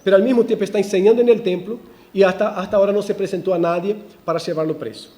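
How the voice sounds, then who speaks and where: High, mellow-voiced preaching man. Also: very fast. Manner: animated preaching with frequent restarts and ironic asides. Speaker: pastor. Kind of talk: expository sermon. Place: church.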